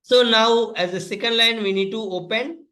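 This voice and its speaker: A man's voice: sits high, normal speed, sharp tone. A man with an Indian accent giving a step-by-step coding lesson as an instructor, in an instructional, repetitive way. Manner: instructional, repetitive phrasing, addresses listeners as friends. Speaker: tutorial instructor.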